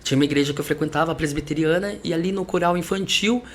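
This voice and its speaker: Male podcast guest from Salvador, reflective and chatty, slightly high voice, faint background noise. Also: rapid-fire. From Salvador, Brazil. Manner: chatty, reflective storytelling with light laughs. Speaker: musician and vocal coach guest. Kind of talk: podcast interview.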